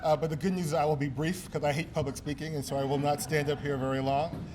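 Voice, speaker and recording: A man's voice: fairly high pitch, flat delivery, fast, darkish tone, slight background noise. A man from Brooklyn, giving a chatty, self-deprecating award acceptance speech full of ums and uhs. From East New York, Brooklyn. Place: gala banquet hall.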